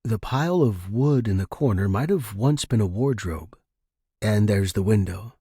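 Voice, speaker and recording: A man's voice: on the low side, animated, normal speed, mellow, husky, dry recording, studio quality. A man hosting a fiction podcast, chatting informally and reading aloud. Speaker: podcast host and story reader. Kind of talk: casual podcast intro and dramatic story narration.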